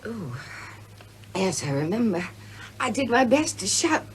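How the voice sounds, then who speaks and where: An actress playing an earnest, frightened young heroine in a radio serial, speaking in an emotional, pleading way. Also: female voice, medium pitch, sing-song, measured pace. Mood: distressed. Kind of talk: 1970s radio serial acting. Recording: very noisy, muffled radio drama, car noise.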